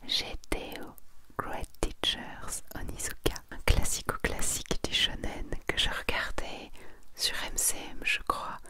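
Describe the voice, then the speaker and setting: A woman whispers softly, as in an ASMR video. Her voice is breathy and barely voiced. She reads and comments in a gentle, unhurried, confiding way. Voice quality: whispered, breathy, almost entirely unvoiced. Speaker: ASMR content creator. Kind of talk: soft whispered ASMR, presenting and reading manga summaries.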